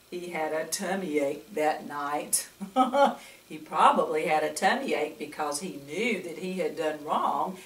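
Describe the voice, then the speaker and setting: Older woman, grandmotherly storyteller, unhurried pace, picture-book read-aloud, coaxing questions to children, mic at a distance in an echoey room. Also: mid-range voice, fairly bright tone.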